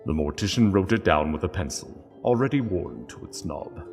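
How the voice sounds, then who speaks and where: A man narrating a sombre story in a solemn, hushed and deliberate way. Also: sits low, lively intonation, big-room sound, faint music behind.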